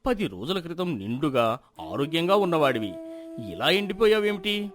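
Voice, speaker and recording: A man plays a learned village elder from a moral storybook, with lively, swooping intonation over clearly audible music. He is concerned and gently chiding, handing out counsel in measured phrases. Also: a little high. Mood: concerned, surprised. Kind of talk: acted moral-story dialogue.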